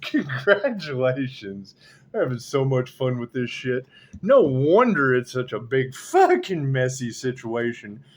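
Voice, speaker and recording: A man's voice: medium pitch, sing-song, unhurried. A man rambling sarcastically as a tarot reader, swearing often and laughing between remarks. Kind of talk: rambling monologue.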